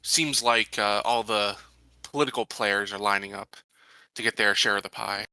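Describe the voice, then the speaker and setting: Very bright, forceful low-voiced man. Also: fairly even intonation, normal speed, dry recording, rough recording. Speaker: tabletop game player. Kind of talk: casual in-character roleplay discussion.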